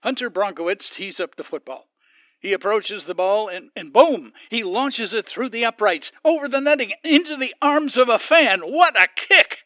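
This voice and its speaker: A man voicing an excitable play-by-play football announcer in an audio comedy. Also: high-pitched, moderate pace, no echo, lo-fi sound. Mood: excited. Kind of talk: sports commentary in an audio comedy.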